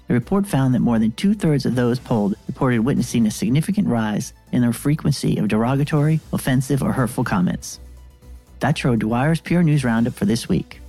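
Mellow man reading news over music. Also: mid-range voice. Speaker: news roundup presenter. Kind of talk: scripted industry news reading.

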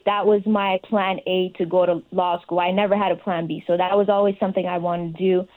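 A woman's voice: lowish voice, flat delivery, a little fast, darkish tone, poor audio. A young adult woman speaking as an interview guest, giving conversational answers. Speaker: interview guest, sports fellowship participant.

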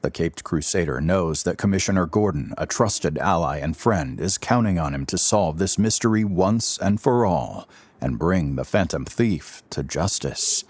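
A man narrating an audio drama in a theatrical, deliberate storytelling manner. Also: deep voice, animated, breathy edge, dry recording.

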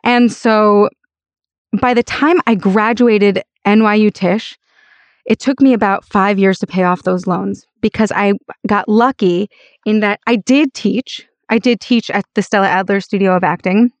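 An American woman with a raspy voice, telling personal stories emphatically and conversationally as an interview guest. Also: mid-range voice, measured pace, no echo. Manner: emphatic, peppers talk with "like" and "you know". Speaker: interview guest, actor and voice-over artist.

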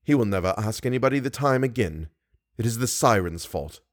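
A man's voice: medium pitch, lively intonation, brisk, no room sound, studio quality, natural voice. A man voicing an unhinged male villain in an audiobook, self-pitying and menacing in a theatrical, erratic manner. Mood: self-pitying menace.

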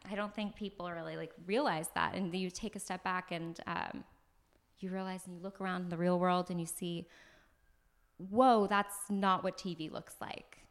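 A young American woman, an actress, chatting as a podcast guest in an animated way, laughing often and filling her talk with "like". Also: fairly low pitch, normal speed. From United States. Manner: animated, laughs often, talks in bursts with many "like"s. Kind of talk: casual podcast conversation. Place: floor of an apartment on moving day.

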